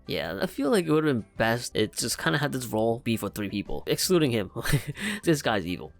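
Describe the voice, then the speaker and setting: A man's voice: medium pitch, sing-song, brisk, vocal fry, no room sound, soft background music. A young man giving casual, slangy, joking reaction commentary as he plays a video game. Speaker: gaming YouTuber.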